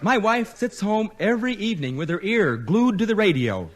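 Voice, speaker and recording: A man's voice: high-pitched, sing-song, normal speed, background noise. An actor playing a stubborn, henpecked businessman in vintage radio comedy, speaking emphatically. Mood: explaining. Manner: emphatic, insistent. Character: stubborn, henpecked male prospective radio sponsor. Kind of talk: 1940s-50s radio comedy acting.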